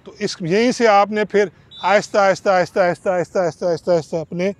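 A man's voice: sits high, fairly even intonation, fast talker. A middle-aged man, a cricket coach explaining a bowling balance drill step by step and counting the repetitions aloud, speaking outdoors on a lapel mic. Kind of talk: instructional coaching video.

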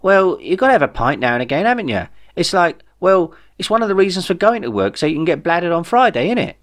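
Man acting excitable young bloke, pitched up. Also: fast talker. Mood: pleading, eager. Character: excitable, grumpy young human man who loves beer. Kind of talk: comic sci-fi audiobook dialogue.

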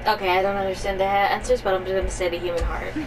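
A woman's voice: mid-range voice, little pitch movement, very fast. Casual woman game commentator, middle register, reacting and reading along over noisy game audio. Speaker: gamer playing through a story quest. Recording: clear noise, game audio behind.

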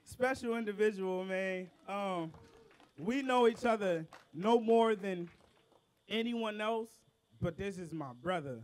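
A man, a rapper, chatting loosely and excitedly with his audience between songs at an outdoor backyard show, profane and quick in bursts. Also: high-pitched. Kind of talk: casual banter with a live audience.

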